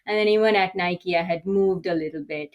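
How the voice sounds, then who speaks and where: A woman's voice: on the low side, fairly quick, muffled recording. Candid woman from Sri Lanka. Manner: candid, reflective, frequent "right?" tags. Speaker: guest, executive coach. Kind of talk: conversational interview answers.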